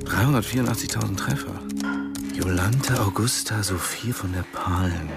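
An actor playing a reserved middle-aged diving instructor who narrates a radio drama in a sober, matter-of-fact way. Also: male voice, lowish voice, moderate pace, vocal fry, over loud music. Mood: sober, reflective. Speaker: narrator and character.

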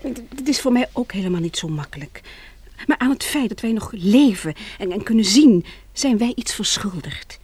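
Breathy, coaxing, animated sweetheart. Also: female voice, medium pitch, normal speed, no echo. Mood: earnest, persuasive. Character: earnest, persuasive, loving woman, the hero's sweetheart. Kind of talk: radio drama acting.

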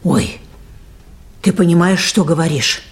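A woman's voice: lowish voice, measured pace. Worried, scolding boatwoman. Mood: anxious, scolding. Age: middle-aged. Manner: folksy, grumbling, exclamatory. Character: worried, motherly, scolding older boat mechanic woman. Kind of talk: 1980s Soviet radio play acting.

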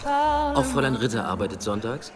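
An actor playing a curious but guarded vice detective in a German radio play, understated and wryly polite. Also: male voice, mid-range voice, huge pitch swings, moderate pace, loud music behind the voice.

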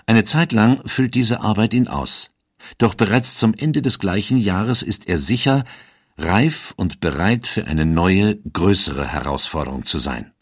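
A man narrating a historical audiobook in a steady, formal way. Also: on the low side, measured pace, breathy edge, dry recording, clean studio sound. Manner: steady, formal storytelling delivery.

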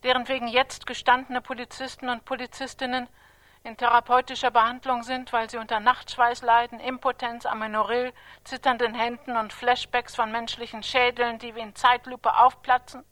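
An actress as a stern woman chronicler in a radio play, laying out accusations in measured, emphatic statements. Her voice is high and sharp, recorded very dry and close. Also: level tone, no echo, lightly acted, rough recording. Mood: incredulous. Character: stern, accusing female chronicler of a terrorist's life. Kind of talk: stylized radio drama recitation.

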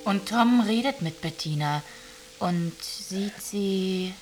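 An actress playing an observant storyteller of a troubled love affair in literary radio drama narration. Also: female voice, medium pitch, expressive, takes it slowly. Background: music.

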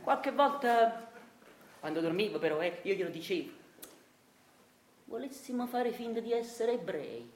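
A rueful Neapolitan clerk, roomy echo. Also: female voice, medium pitch, very animated, measured pace, long pauses, a little mellow. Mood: bitter, confiding. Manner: rueful, self-pitying storytelling. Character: meek henpecked Italian clerk of forty-seven, resigned and wistful. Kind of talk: theatrical radio monologue. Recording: roomy echo, faint noise.

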